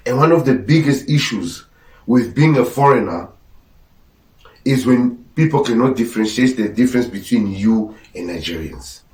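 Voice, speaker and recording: A man's voice: medium pitch, animated, normal speed, a little mellow. Guy lecturing hard on his podcast, portuguese first language, sounds far off in a roomy space. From Angola. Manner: blunt, preachy, lecturing. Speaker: podcast host. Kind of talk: opinionated commentary.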